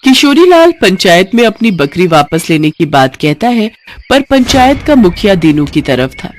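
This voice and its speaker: A woman's voice: mid-range voice, big pitch swings, moderate pace, slight background noise. A woman reading a scripted bedtime moral story as its narrator.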